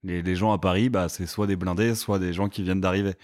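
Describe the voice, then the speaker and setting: Man, low-pitched podcast host, relaxed and teasing. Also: fast. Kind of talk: casual interview.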